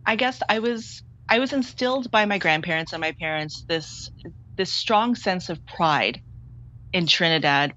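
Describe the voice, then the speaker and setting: Low-voiced woman with a candid, halting way of talking. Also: bright, forceful tone, muffled recording. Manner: candid, halting, with frequent restarts. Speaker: filmmaker guest. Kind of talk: interview over a remote link.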